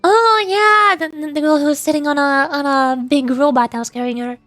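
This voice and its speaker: A woman streamer with a high voice gives casual, rambling game commentary. She sounds playful and is recorded very dry, with faint music in the background. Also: fairly even intonation, normal speed, fairly bright tone, dry recording.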